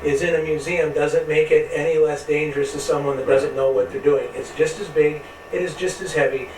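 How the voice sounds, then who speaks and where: A man's voice: on the high side, steady tone, brisk. An American man explaining things conversationally as an interview guest, heard from a distance amid railyard noise. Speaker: interview guest, railway operations superintendent. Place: back platform of old passenger railcar. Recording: echoey distant pickup, noisy railyard behind. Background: loud passing train, railyard noise.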